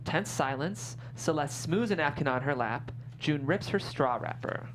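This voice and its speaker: A woman with a deep voice and animated intonation works as host and narrator in a studio. Faint noise sits behind her. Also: moderate pace. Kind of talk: reading stage directions and interviewing writers.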